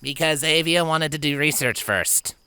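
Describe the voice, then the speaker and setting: A brash young pegasus filly played by a narrator in a storybook adventure. The voice is raised well above plain speech, bright and forward, with the bluster of a little daredevil. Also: medium pitch, moderate pace, clean tone, no echo, voice pushed up. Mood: retorting.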